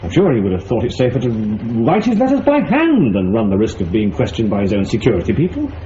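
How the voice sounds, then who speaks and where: Dark-toned, pedantic forensic scientist played by a man. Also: lowish voice, huge pitch swings, a little fast. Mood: insistent. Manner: pedantic, emphatic. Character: fussy male forensic scientist, pedantic and testy. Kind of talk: spy radio drama acting. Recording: muffled lo-fi audio, faint noise.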